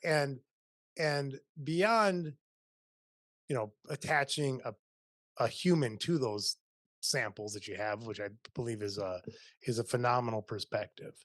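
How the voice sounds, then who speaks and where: A man's voice: mid-range voice, measured pace, long pauses, a bit bright, dry recording. A middle-aged man hosting a podcast interview over a video call, upbeat and prone to rambling restarts. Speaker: podcast host, dentist. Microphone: studio mic on boom, video call.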